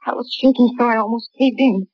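A woman playing a shaken, earnest witness to a crime in a 1950s radio drama, telling her story haltingly. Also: fairly high pitch, steady tone, no room sound, natural voice. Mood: distressed recounting. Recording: dry, dull old radio recording.

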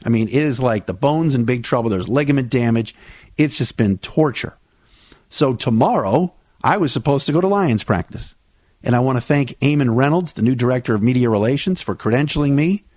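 A man's voice: medium pitch, lively intonation, a little fast, a little mellow, dry recording. A man, hosting a solo sports podcast in a chatty, conversational way, back on air after vocal cord surgery. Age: 40s. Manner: chatty, conversational, with frequent "uh" fillers. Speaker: podcast host.

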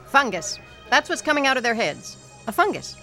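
An actress playing a precise crime lab scientist who talks crisply. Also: female voice, high-pitched, big pitch swings, normal speed, pushed and bright, soft background music, exaggerated voice.

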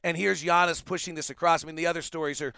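A man's voice: a little high, steady tone, fast, creaky, pushed and bright, smooth, no echo, studio quality. A man hosting a casual sports talk interview, giving opinions and rambling into stories. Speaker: podcast host.

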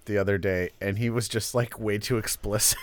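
A man's voice: lowish voice, steady tone, fairly quick, a little hiss or hum. A man with a stuffed-up, nasal voice co-hosting a podcast in a casual, joking, self-deprecating way. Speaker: podcast co-host discussing art. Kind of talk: casual chat.

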